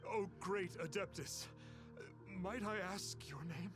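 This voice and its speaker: High-pitched awestruck fanboy, formal, earnest, repentant, anime game dialogue over music. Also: male voice, takes it slowly. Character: awestruck, repentant young male impostor, earnest devoted fan.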